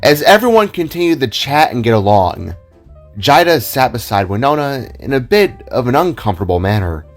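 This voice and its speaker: A man narrating a scripted audio drama in a measured, theatrical storytelling manner. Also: medium pitch, sing-song, faint music behind. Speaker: narrator and reader of all parts.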